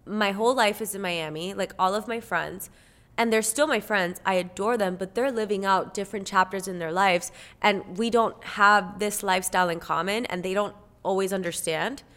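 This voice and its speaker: A woman's voice: mid-range voice, moderate pace, a bit bright. A woman in her twenties from Cuba, a podcast guest talking candidly and earnestly in casual chat, with many filler words. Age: 20s.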